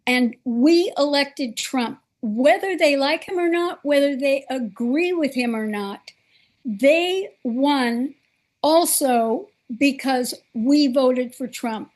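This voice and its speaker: A woman hosts a political talk show in an earnest, emphatic way. Her voice sits high, and she takes her time, leaning on each point deliberately.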